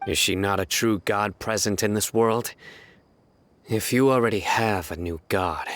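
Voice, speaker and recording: A man's voice: fairly low pitch, unhurried, fairly bright tone, dry recording. A man playing a stern, solemn young guardian in a video game, speaking in a grave, questioning way. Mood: grave, challenging. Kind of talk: scripted game dialogue.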